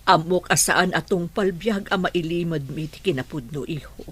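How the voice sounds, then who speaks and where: A gentle, worried Ilocano Filipina mother in a radio drama. Her voice is fairly low and breathy-husky, with earnest, emotive delivery. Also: normal speed. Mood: anxious, confessional. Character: gentle, anxious middle-aged mother confiding in son.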